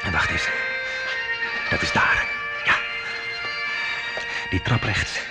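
A man playing an excitable, earnest and argumentative young amateur sleuth in a radio drama. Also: low voice, slow, loud music behind the voice. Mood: determined. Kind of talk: Dutch radio drama acting.

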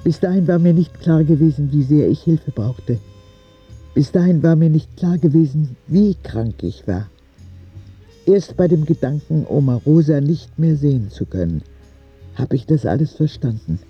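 A man's voice: on the high side, lively intonation, normal speed, husky, dull audio, soft background music. A man narrating an audio drama as a candid, vulnerable ten-year-old sick boy who confides in a naive, plain way. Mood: wistful, vulnerable.

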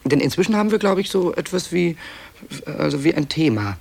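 Reflective man with a gravelly edge. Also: a little high, big pitch swings, brisk, darkish tone. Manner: digressive, self-interrupting, reflective. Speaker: author-narrator presenting the radio play. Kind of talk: essayistic radio narration, addressing listeners.

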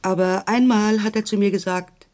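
An actor playing a pensive man who recalls someone distant, reflectively and with pauses, in a radio drama. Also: high voice, steady tone, a little mellow.

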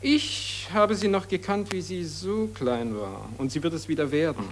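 An actor playing a sardonic critic who answers with dry, biting sarcasm. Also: male voice, on the high side, moderate pace, slight background noise, close to an everyday voice. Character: sardonic grumbling male critic.

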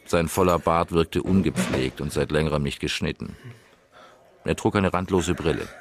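Deep-voiced, observant private investigator. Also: male voice, moderate pace. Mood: observant, neutral. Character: male private investigator, matter-of-fact, observant, self-assured. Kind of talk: radio drama narration and dialogue.

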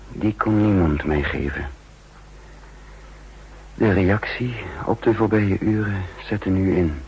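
An actor playing an intense, guilt-ridden double agent who narrates his own downfall in a 1960s Dutch radio drama, with a husky voice and deliberate dramatic delivery. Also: deep voice, darkish tone, dull audio, slight background noise. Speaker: lead actor and first-person narrator.

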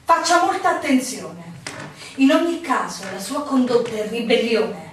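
A proud, world-weary innkeeper in a radio play. Her voice is on the high side, measured and dismissive as she admonishes. It sounds distant, in a strongly reverberant room. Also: lively intonation. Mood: scornful. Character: proud, world-weary married woman who runs an inn. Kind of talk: Italian radio drama acting. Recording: echoey room, distant mic, faint noise.

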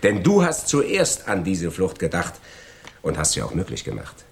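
An actor playing a cold, gloating criminal in a radio crime drama, taunting and deliberate in his delivery. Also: sits low, wildly swooping pitch, gravelly, slight background noise, exaggerated voice. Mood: accusing, scornful. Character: cold, gloating male criminal double-crossing his accomplices.

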